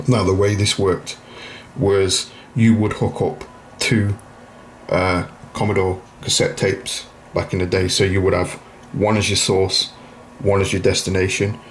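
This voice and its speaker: A man's voice: low-pitched, level tone, a little slow, a little hiss or hum, poor audio. A man hosting an informal hands-on tutorial, explaining things plainly, step by step. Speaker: video host demonstrating a retro computer repair.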